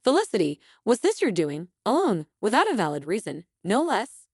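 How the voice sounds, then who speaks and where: A woman's voice: mid-range voice, huge pitch swings, moderate pace, husky, no room sound, clean studio sound, voice pushed up, heavily acted. A woman voicing an aggrieved elderly mother-in-law in a story, indignant and accusing.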